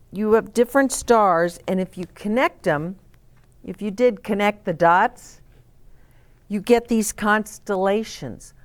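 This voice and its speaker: A woman hosting story time for young children, reading aloud with dramatic emphasis and explaining things simply with questions to the listener. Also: fairly low pitch. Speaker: children's storybook reader and host.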